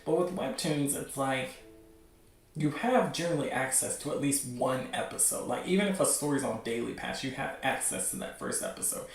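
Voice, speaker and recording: A man hosting a show with casual, unscripted commentary, rambling as he thinks out loud. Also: medium pitch, expressive, moderate pace. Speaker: host of a webcomic news show. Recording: roomy, echoing sound.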